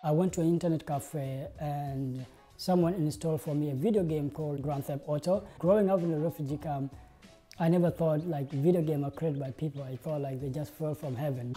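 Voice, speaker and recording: A man's voice: a little high, brisk, warm tone, faint music behind. A young man tells his story to the camera in an earnest way, using many "uh" and "like" fillers. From South Sudan. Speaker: interviewee, game designer. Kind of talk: interview monologue to camera. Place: indoor studio with plain backdrop.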